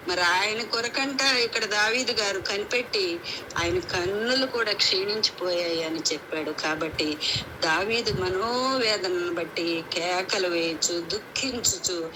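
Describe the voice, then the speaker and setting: A woman preaches a devotional sermon, exhorting earnestly, reading scripture and repeating phrases for emphasis. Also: mid-range voice. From India. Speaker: preacher at a prayer meeting. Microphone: phone on a conference call. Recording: noisy conference call audio.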